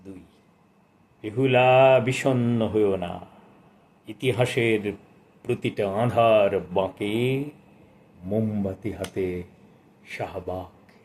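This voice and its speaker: A man in his sixties presenting a book of poetry, speaking and reciting in a measured, expressive way with formal literary diction. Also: on the low side. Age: 60s.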